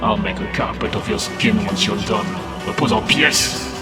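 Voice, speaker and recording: A man's voice: fairly high pitch, very animated, moderate pace. A man voicing a cold, boastful French demon wrestler, scornful and threatening, in a scripted cartoon. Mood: menacing. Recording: loud music over the voice.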